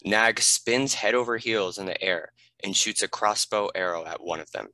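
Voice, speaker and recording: A man's voice: fairly low pitch, normal speed, pushed and bright, no echo. A man reading scripted stage directions as a narrator.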